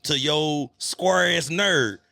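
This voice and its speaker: A man in his thirties hosting a podcast, chatting casually in an animated, slangy, talkative way. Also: a little high, takes it slowly, no echo. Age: 30s. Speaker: podcast host. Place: cigar lounge.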